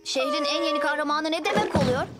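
A woman's voice: high voice, quick pace, heavy background noise, lightly acted. A woman voicing a decisive, questioning young boy superhero in a dubbed children's cartoon. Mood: skeptical. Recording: loud music behind the voice.

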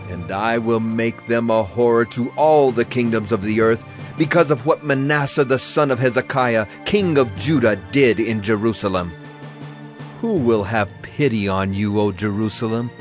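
A man's voice: medium pitch, normal speed, close to an everyday voice. A man playing a sorrowful, earnest prophet in a dramatized scripture reading, solemn and declamatory. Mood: solemn warning. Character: Jeremiah, sorrowful, earnest male Hebrew prophet. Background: music bed.

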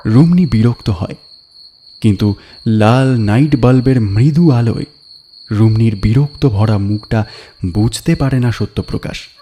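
A man narrating a crime story in a measured, suspenseful, dramatic storytelling style. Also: medium pitch, dark tone, a little hiss or hum. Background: background music.

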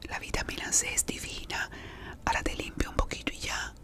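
A woman whispering in an ASMR roleplay as a beautician, gossiping while she treats a client. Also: fairly quick. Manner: gossipy whispering, talking to an unseen caller and a client.

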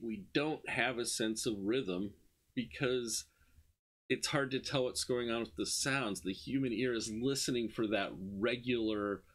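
A man's voice: medium pitch, fairly bright tone. A man lecturing informally on slides, explaining conversationally and posing questions that he then answers. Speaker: university music lecturer. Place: indoor room, screen-recorded lecture with webcam.

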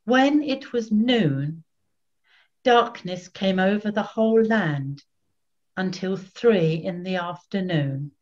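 An older woman reads scripture solemnly and very deliberately. Her pace is slow and her pitch sits in the middle. The sound is a dull webcam recording from a room at home. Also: expressive, darkish tone. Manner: measured, deliberate. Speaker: scripture reader, narrator. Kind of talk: solemn scripted Bible reading. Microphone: video call, computer at arm's length. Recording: dull webcam video call audio.

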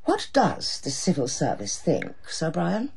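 An actress playing a sharp-tongued older female Prime Minister in a radio drama, with a clipped, commanding English accent and a condescending manner. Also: sits low, wildly swooping pitch, measured pace, vocal fry, husky, full character voice. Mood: imperious, needling.

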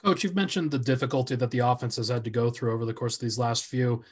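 A male reporter with a husky edge to his voice asks the coach one thoughtful, long-winded question. His pitch sits in the middle range. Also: steady tone, quick pace. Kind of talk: press conference question.

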